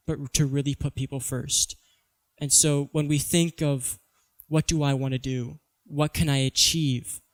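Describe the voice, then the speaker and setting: A teenage student speaker, grown up in Singapore, giving an earnest, measured prepared talk. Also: male voice, mid-range voice. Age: teens.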